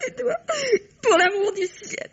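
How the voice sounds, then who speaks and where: A woman's voice: very high voice, a little slow. An actress playing a hesitant, emotional mistress in her thirties, clinging and pleading, in a French vintage radio melodrama. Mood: desperate. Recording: dull vintage radio recording.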